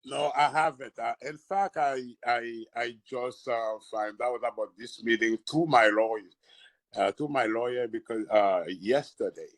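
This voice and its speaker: A man's voice: medium pitch, measured pace, no room sound. A man from Haiti, a restaurant and bar owner speaking at a public meeting on a call, hesitant and searching for words. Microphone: phone or video call.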